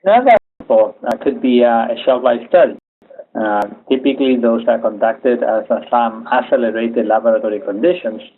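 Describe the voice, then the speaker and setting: A man from Chile giving a webinar lecture, speaking haltingly with many "uh" fillers. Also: medium pitch. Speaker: engineering lecturer. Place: home office. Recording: tinny phone-like audio.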